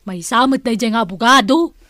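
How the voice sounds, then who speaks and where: An actress with an Ilocano accent playing a vain, playful, flirtatious auntie in a radio comedy drama. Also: female voice, on the high side, brisk, sharp tone, no echo. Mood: huffy, smitten.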